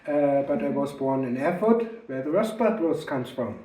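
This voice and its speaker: Calm man. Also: mid-range voice, breathy edge, poor audio. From Germany. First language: German. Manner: calm, steady, with frequent uh and um pauses. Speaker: channel co-host and narrator. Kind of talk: casual chat and tour narration.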